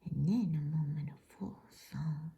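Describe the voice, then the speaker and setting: A woman reading softly in a breathy whisper, in a soothing, unhurried ASMR bedtime story style. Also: sits low. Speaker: host and storybook reader.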